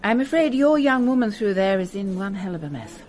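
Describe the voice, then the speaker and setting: An actress playing a brisk, matter-of-fact police doctor in a radio drama. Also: female voice, medium pitch, animated, a little mellow, natural voice. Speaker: police surgeon.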